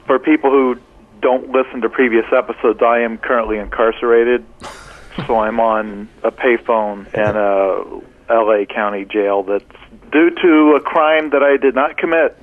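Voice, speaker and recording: A man co-hosting a podcast by phone, joking with deadpan, mock-serious delivery. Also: mid-range voice, measured pace. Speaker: podcast co-host. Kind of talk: comedic podcast banter. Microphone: phone line. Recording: muffled phone-call recording.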